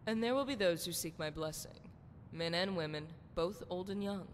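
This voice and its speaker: A long reverb surrounds a seductive game priestess, played by a woman, with clear rumbling noise behind her. Her voice sits fairly low for a woman. She speaks in a sultry, flirtatious way, poetic and a little theatrical. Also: moderate pace, natural voice. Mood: wry, self-assured. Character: seductive, flirtatious adult priestess of a love goddess. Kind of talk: scripted video game character dialogue. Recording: long hall reverb, noisy rumbling background.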